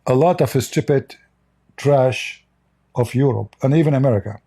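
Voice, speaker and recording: A man whose first language is Arabic talks in English with a dark, mellow tone and a husky edge. He is blunt and scornful, and he often stumbles over his sentences and repeats words. He delivers an off-the-cuff commentary monologue. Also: mid-range voice, normal speed. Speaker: online commentator.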